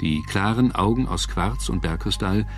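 A man reading scripted documentary narration in a measured, factual manner. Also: on the low side, soft background music.

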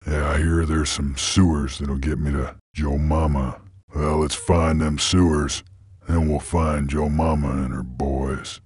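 An actor playing a gruff, laconic creature bounty hunter in a video game, with a gravelly voice and terse, folksy cowboy drawl. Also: male voice, rumbling low, flat delivery, unhurried, a little mellow, no room sound, studio quality, exaggerated voice. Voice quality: gravelly, husky. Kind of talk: video game character voice lines.

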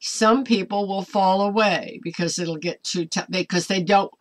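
An American woman in her seventies preaching informally to camera at home, earnest and exhortatory in a deliberate way. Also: medium pitch, steady tone. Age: 70s. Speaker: online ministry preacher. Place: small cluttered home room, indoors.

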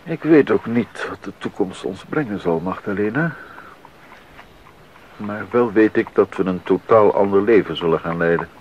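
A man acting a sober, devout composer and husband in a Dutch radio drama, speaking in a measured, reflective way. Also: on the low side, darkish tone, natural voice. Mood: sober, resigned. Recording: dull, noisy 1980s radio recording.

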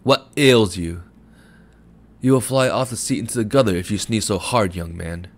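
A man's voice: sits low, steady tone, normal speed, lightly acted. A man voicing a scornful older village shopkeeper who scolds and sneers at a customer. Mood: gruff teasing.

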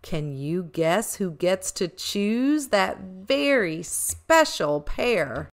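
A middle-aged woman hosting a children's show, reading aloud expressively and deliberately in a sing-song way for toddlers. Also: medium pitch, sing-song.

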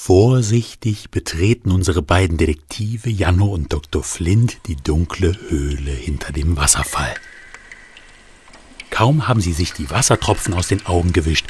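A man narrating a radio play in a calm storytelling manner, with music behind him. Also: low voice, moderate pace, breathy edge.

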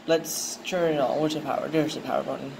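A man's voice: a little high, fairly quick, gravelly. Man narrating an unboxing, noisy room. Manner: excited, thinking aloud. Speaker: unboxing video host. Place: indoors, tiled floor room. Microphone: handheld phone camera.

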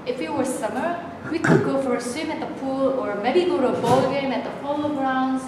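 Young lady playing polite sweet girlfriend in a play reading, high voice, very echoey room. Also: normal speed, natural voice. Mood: tender. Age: young adult. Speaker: actor in a staged reading. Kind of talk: live staged reading of a stage play. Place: large bare indoor room. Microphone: far camera. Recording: echoey hall, distant camera microphone, noisy.

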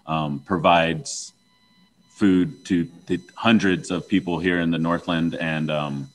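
A man moderating a town hall forum, repeating audience questions with halting restarts. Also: sits low, steady tone.

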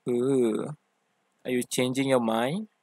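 A man's voice: mid-range voice, fairly even intonation, slow, darkish tone, dry recording, studio quality. A man playing an affectionate, theatrical young boyfriend who teases and coaxes in an ASMR roleplay. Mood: playful, teasing. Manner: theatrical, teasing, coaxing. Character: playful, affectionate, teasing young femboy boyfriend.

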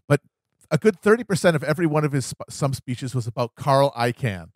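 A man's voice: mid-range voice, lively intonation, fairly quick, darkish tone, no room sound, studio quality. A man co-hosting a podcast, giving emphatic, wordy, profane comedic commentary on politics in a casual style. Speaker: podcast co-host.

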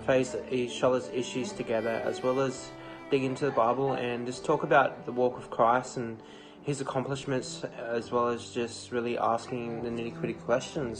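A man's voice: medium pitch, poor audio. A young man casually and earnestly sharing about his Bible study on a webcam. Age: young adult. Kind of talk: personal testimony. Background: guitar music.

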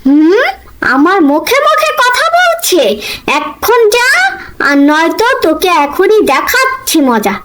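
A woman voicing a bitter, sharp-tongued female bird who scolds others in a children's cartoon. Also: very high-pitched, very animated, takes it slowly, exaggerated voice. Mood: angry, scolding.

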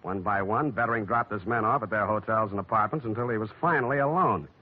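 An actor playing a wry, unflappable hard-boiled private detective, deadpan and wisecracking, in 1940s radio style. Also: male voice, on the low side, fast, natural voice. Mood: wry narration. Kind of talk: 1940s radio acting with first-person narration. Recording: dull vintage radio recording.

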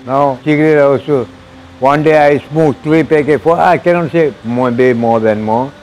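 An older man in his sixties speaks in Malaysian-accented English, earnest and plain, circling back over his words. His voice sits at an ordinary middle pitch and is caught close on a lapel mic. A faint hum of street traffic lingers behind him in the open walkway. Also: normal speed, a little mellow, rough recording. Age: 60s. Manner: broken English, earnest and repetitive. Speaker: interviewee. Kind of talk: personal testimony. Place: arched outdoor walkway of a mosque.

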